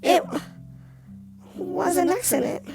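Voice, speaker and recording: Very creaky, high-voiced doll played by a woman. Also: fairly even intonation, takes it slowly, darkish tone, exaggerated voice. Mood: defensive. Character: upset, defensive female toy doll. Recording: music clearly behind the voice.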